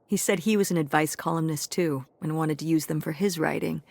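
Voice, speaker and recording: Middle-aged mother, game drama. Lowish pitch, weary, dry, natural delivery. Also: fairly quick, creaky, a little mellow, dry recording. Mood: dry, matter-of-fact. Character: tired, wry middle-aged mother of a teenager. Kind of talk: naturalistic video game voice acting.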